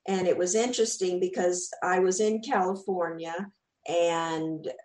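A woman's voice: fairly low pitch, fairly even intonation, a little slow, darkish tone, reverberant. A woman from Texas with a Southern accent, chatting as an actress guest in an interview and often repeating words as she starts a thought. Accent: Southern US, Texan. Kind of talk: casual interview chat.

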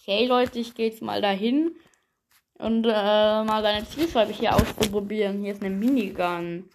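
A woman casually improvising a first-person game story, chatty and halting, often addressing her listeners. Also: medium pitch, steady tone, darkish tone, a little hiss or hum. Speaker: narrator and player.

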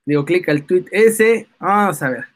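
A man with a Mexican accent co-hosting a live stream in casual, playful banter, excitable and quick to exclaim. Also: high voice.

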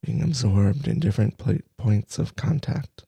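Man, meditation teacher, low gravelly voice, soft-spoken, unhurried pauses, guided practice. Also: animated, no room sound. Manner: soft-spoken, halting short phrases with long pauses.

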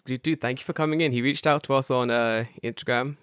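A man with a London accent hosting a podcast, excitable and casual, swearing and laughing often. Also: medium pitch, quick pace, smooth, no echo, clean studio sound. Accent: London English. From North London, England. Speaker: podcast host. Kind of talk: casual chat.

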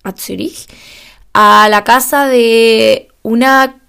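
Chatty young Chilean woman, slightly gravelly. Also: fairly high pitch, steady tone, drawn-out words. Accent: Chilean Spanish. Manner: chatty and warm with frequent fillers and small laughs. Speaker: podcast host. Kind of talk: casual monologue podcast.

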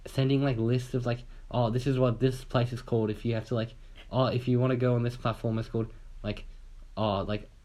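A man's voice: medium pitch, little pitch movement, a little fast, dark tone. A young man from Australia telling a long, rambling story in casual chat on a podcast, swearing casually and acting out what people said.

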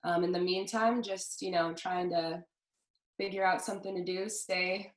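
A woman's voice: on the low side, fairly even intonation, moderate pace. Upbeat young woman in an echoey room. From Southern California. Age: 20s. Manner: upbeat, friendly, lots of fillers. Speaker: podcast co-host. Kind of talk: casual chat.

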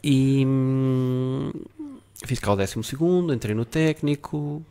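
A middle-aged man from Spain with a mixed Spanish and Portuguese accent, speaking as a guest and reflecting in a relaxed way. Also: mid-range voice, takes it slowly, creaky, clean tone. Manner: reflective, many fillers and restarts. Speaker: guest photographer. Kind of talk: casual interview talk.

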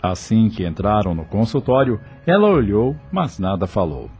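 A man narrating a radio soap from a script, with a husky voice. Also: lowish voice, big pitch swings, normal speed, a little mellow, faint music behind.

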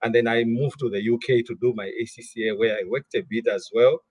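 A man's voice: medium pitch, steady tone, fairly quick, darkish tone, husky, no room sound. A middle-aged man from Malawi, a guest in an interview, who often repeats words while gathering his thoughts. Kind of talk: interview conversation.

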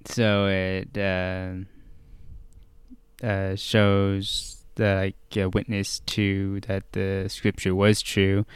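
Man, deep clear voice, slow hesitant talk, lots of uhs, dry close sound. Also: monotone, dry recording. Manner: slow, hesitant, many fillers. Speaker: podcast co-host. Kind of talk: casual scripture discussion.

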